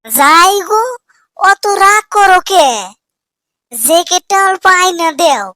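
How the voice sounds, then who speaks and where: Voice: very high voice, lively intonation, takes it slowly, bright, forceful tone, no room sound, clean studio sound, full character voice. A performer voicing a whining, henpecked young village husband in a comic cartoon, with a rural Bangladeshi accent and an exaggerated, plaintive manner. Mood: meek, pleading.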